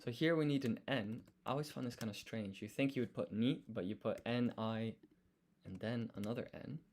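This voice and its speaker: A man's voice: mid-range voice, moderate pace, darkish tone, a little hiss or hum. A man livestreaming casually as he studies, thinking aloud and sounding out words syllable by syllable. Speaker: live streamer studying Japanese. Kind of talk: casual live study chat.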